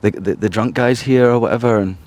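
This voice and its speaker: A man with a Glasgow Scottish accent, a podcast guest talking casually, often pausing and restarting his sentences. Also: mid-range voice, darkish tone. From Scotland. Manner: hesitant, many restarts and fillers. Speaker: podcast guest, charity founder. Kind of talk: casual interview chat.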